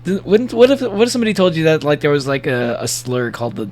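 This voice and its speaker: A man with a gravelly voice giving a casual livestream reaction, rambling and joking playfully as he comments and reads bits aloud. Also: fairly high pitch, big pitch swings, fast talker, a little mellow. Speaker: streamer reacting to horror videos.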